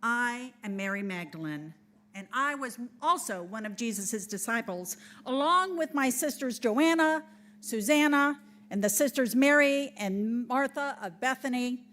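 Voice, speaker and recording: A woman's voice: sits high, fairly bright tone. A woman playing Mary Magdalene, a defiant, outspoken disciple of Jesus, blunt and indignant in a church dramatic reading.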